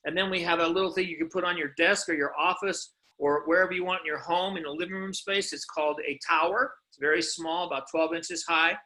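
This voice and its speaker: A man's voice: fairly high pitch, fairly even intonation, fairly quick, few pauses, bright, forceful tone, rough recording. A man delivering an informal sales presentation in an emphatic, insistent manner, often punctuated with rhetorical questions. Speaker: company presenter pitching products.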